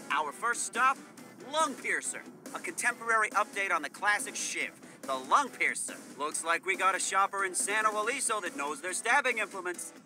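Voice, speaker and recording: An actor playing a slick, hyped-up TV shopping host with showy auction patter in a video game. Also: male voice, high voice. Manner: showy salesman delivery.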